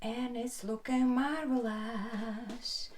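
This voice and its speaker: A woman doing soft ASMR commentary while painting, unhurried and repeating her phrases soothingly. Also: fairly high pitch, steady tone, noisy background. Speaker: ASMR host painting on camera.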